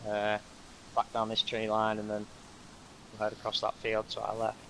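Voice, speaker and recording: A calm man briefs his squad in a low, gravelly voice that stays flat in pitch. His tone is bright and edgy, and faint ambience runs underneath. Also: moderate pace, a little hiss or hum, low-quality recording. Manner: calm, steady and instructive, with frequent uhs. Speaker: roleplay squad leader. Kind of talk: in-character tactical briefing.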